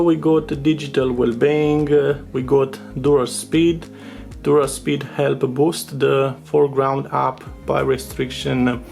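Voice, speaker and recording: A man, a native Romanian speaker, giving a casual, unscripted product overview in English as a tech reviewer, with halting, filler-filled delivery. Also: mid-range voice, creaky, a little mellow. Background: music with guitar behind the voice.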